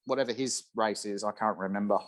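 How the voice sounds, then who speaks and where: A man's voice: lowish voice, steady tone, fast. A man chatting and bantering casually as a podcast guest on a video call. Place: indoor room at home, on a video call.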